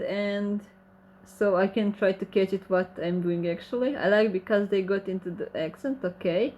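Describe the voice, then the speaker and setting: A woman's voice: medium pitch, mellow, dull audio. A woman with a Croatian accent, who speaks Croatian natively, hosts a casual livestream Q&A. She reads viewer comments aloud and answers them in friendly, slightly broken English. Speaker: channel host reading chat questions.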